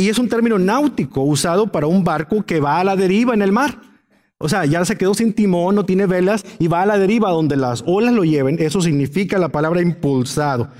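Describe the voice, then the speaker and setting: A man's voice: sits high, darkish tone. A man preaching a Bible-teaching sermon as a pastor, emphatic and illustrating his points with everyday examples. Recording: long hall reverb.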